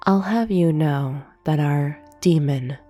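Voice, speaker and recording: Demon duchess , voiced by a woman: haughty, sadistic banquet hostess for ASMR roleplay; dark mellow tone, very unhurried, breathy whispers, formal and teasing. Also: deep voice. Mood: haughty menace. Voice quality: breathy, often dropping into whisper. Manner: slow, formal, imperious, menacing teasing. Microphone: close binaural microphone. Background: soft piano music.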